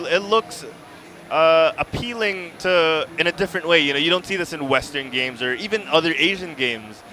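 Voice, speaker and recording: A man's voice: a little high, pushed and bright. A man hosting a convention interview, upbeat and affirming, asking leading questions. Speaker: host interviewing game developers.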